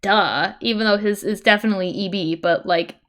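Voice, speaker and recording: A woman's voice: medium pitch, a little fast, a bit bright. A woman streamer reading a web comic aloud, mixing narration with casual banter.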